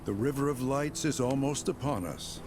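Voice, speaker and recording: A man's voice: medium pitch, close to an everyday voice. A man playing a courteous, solemn scholar who speaks formally in a video game cutscene, over music. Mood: solemn, encouraging. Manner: formal, measured, encouraging.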